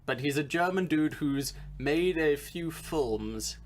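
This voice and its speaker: A man's voice: fairly high pitch, lively intonation, slow. A man co-hosting a podcast, chatting casually in a joking, sardonic way with some swearing. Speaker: podcast co-host. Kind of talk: casual chat.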